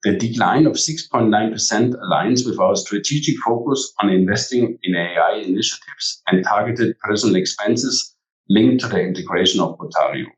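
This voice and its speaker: Echoey, distant low-voiced man presenting. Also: moderate pace. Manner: formal, reading from prepared text. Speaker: company executive presenter. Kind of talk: scripted earnings presentation. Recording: echoey room, distant from mic.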